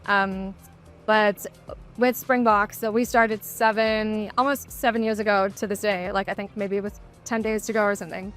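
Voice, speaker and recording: A woman's voice: medium pitch, fairly even intonation, normal speed, faint music behind. A woman who founded her own company, talking casually on a panel in a matter-of-fact way.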